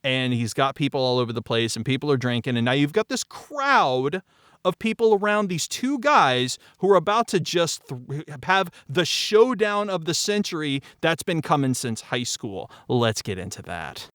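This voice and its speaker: A man's voice: on the high side, sing-song, moderate pace, fairly bright tone, smooth, no echo, clean studio sound. A man in his forties telling behind-the-scenes stories in a candid, emphatic way, repeating phrases for stress. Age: 40s. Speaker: audio drama creator discussing the show behind the scenes. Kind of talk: animated conversational storytelling.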